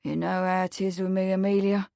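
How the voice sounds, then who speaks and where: An awkward but earnest young manservant, read by a woman narrator in a voice close to her own. He speaks firmly and emphatically in rough, ungrammatical working speech. The recording is dry and close. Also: medium pitch, level tone, fairly quick, dark tone, dry recording, natural voice.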